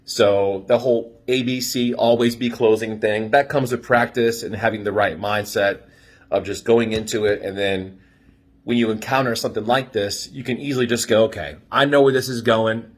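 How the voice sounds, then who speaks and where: A young American man casually telling a story in an animated way, swearing freely and acting out dialogue. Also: lowish voice, normal speed. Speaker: storyteller giving dating advice.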